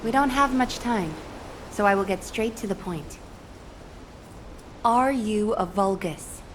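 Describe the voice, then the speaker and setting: A woman's voice: medium pitch, slow, background noise, lightly acted. A woman playing a blunt, impatient military interrogator in a video game, stern and direct.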